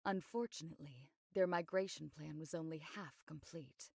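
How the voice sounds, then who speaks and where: Composed, creaky-voiced investigator. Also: female voice, low-pitched, darkish tone, no room sound, clean studio sound. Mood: measured, explanatory. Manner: measured, matter-of-fact, explanatory. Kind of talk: scripted anime game voice acting.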